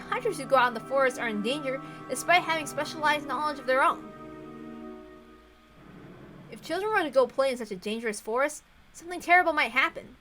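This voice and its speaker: A woman reading a visual novel aloud as expressive scripted narration. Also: sits high. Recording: music playing behind the voice. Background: background music.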